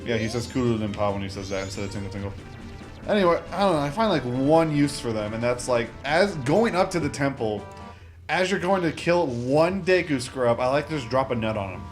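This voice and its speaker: A young man giving casual, rambling gameplay commentary with informal, mock-exasperated asides. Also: medium pitch. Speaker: gamer, Let's Play host. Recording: game music behind the voice. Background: video game music.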